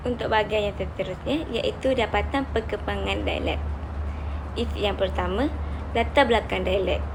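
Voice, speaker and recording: A woman's voice: mid-range voice, normal speed, noisy background. A young woman with a Malaysian accent formally reading out a scripted student presentation. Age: young adult. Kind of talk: scripted presentation read aloud.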